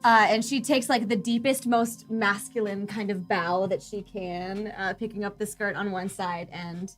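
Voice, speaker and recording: A woman with a mid-pitched voice narrates as a player in a tabletop game. She improvises in casual, slangy, excitable phrases, with soft music underneath. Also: measured pace.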